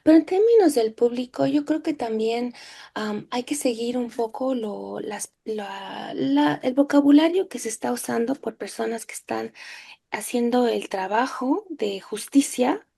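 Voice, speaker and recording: Mexican woman historian with a creaky voice. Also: on the high side, measured pace, warm tone. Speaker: academic historian, panel guest. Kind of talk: academic panel discussion.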